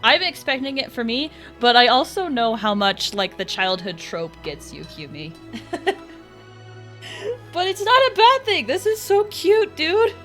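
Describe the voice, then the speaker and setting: A woman's voice: high voice, very animated, measured pace, a bit bright, soft background music. A young adult woman giving casual, playful live commentary on a game, with sing-song exclamations. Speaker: game streamer.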